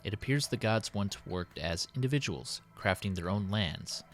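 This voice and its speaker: A low male voice put on by a narrator as a burdened city president in a story. He is formal and measured, dictating a solemn diary with dutiful worry, over soft background music. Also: expressive, lightly acted. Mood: awed. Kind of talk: dramatic in-character diary reading.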